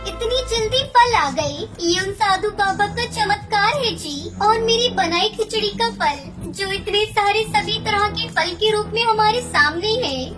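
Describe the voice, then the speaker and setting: Woman voicing a very high-pitched plaintive wife bird. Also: lively intonation, unhurried, hardly any pauses. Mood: amazed. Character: devoted, worried young wife bird, female. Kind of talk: cartoon moral story acting. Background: music.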